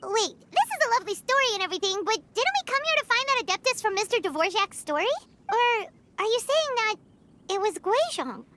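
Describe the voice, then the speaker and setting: Tiny squeaky game companion, extremely high. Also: female voice, sing-song, fairly quick, pushed and bright, slight background noise, full character voice. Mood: hesitant, curious. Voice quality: squeaky, childlike. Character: tiny childlike companion creature, female, impatient and curious. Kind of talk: scripted game dialogue.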